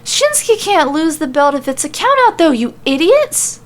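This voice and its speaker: Woman as a shrill, shrieking, panicky male wrestler. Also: very animated, normal speed. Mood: outraged panic. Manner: screaming, melodramatic.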